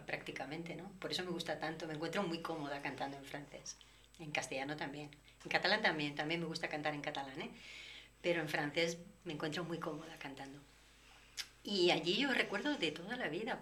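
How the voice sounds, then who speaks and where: Woman, singer, interview guest, lowish voice, thoughtful and unhurried, faint background noise. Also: a bit bright. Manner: explains at length, reflective. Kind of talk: relaxed conversation.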